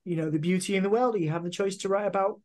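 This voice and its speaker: A man's voice: high-pitched, darkish tone. A man from the United Kingdom hosting an interview, affirming his guest and often restarting his sentences. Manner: affirming, halting, many restarts. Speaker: interviewer host. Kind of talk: interview conversation.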